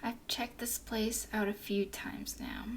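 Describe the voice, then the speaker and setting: A woman voicing a flirty, teasing female werewolf explorer in an ASMR roleplay, speaking in a soft whisper with a playful drawl. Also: mid-range voice, level tone, a little slow, a little hiss or hum, close to an everyday voice.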